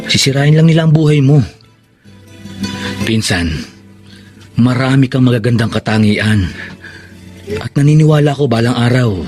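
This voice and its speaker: A man acting a steady, caring older cousin in a radio drama, earnest and reassuring. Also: medium pitch, lightly acted.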